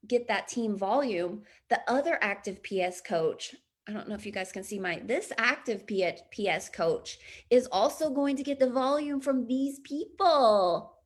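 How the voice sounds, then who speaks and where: A woman in her thirties leading an online business training, chatty and upbeat, with a slightly raspy voice. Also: on the high side, unhurried. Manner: upbeat, chatty, frequent laughs and throat clearing. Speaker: business coach.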